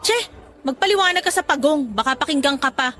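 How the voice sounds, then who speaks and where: Sharp, high-voiced scornful woman. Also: expressive, fairly quick. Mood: dismissive. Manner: curt, snapping, sarcastic. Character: bitter, scornful middle-aged woman hurt by an ex. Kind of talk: Tagalog radio drama acting. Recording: music bed behind voice.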